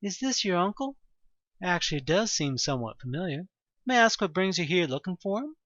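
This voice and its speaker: Narrator doing a calm, courteous male priest. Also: mid-range voice, fairly quick, no echo, dull audio, voice pushed up. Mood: calm, guarded. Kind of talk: audio drama dialogue.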